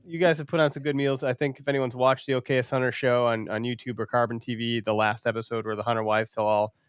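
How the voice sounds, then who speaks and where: A man's voice: medium pitch, flat delivery, fast talker, clear, resonant tone, no echo. Casual bantering man, close dry sound. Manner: informal, profane bantering. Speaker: podcast host. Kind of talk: casual chat.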